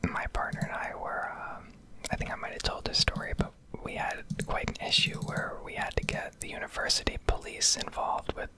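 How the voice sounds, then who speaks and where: Woman whispering an ASMR rant. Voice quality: breathy unvoiced whisper. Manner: profane, opinionated, hesitant pauses. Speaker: ASMR gaming streamer. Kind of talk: whispered ASMR rambling rant over gameplay.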